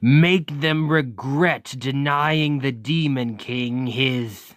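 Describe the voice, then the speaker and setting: A man playing an ancient Demon King, a coldly calculating tyrant who talks in a measured, condescending, menacing way in an ASMR roleplay. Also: low-pitched, expressive, no echo, studio quality, heavily acted. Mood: gloating, vehement.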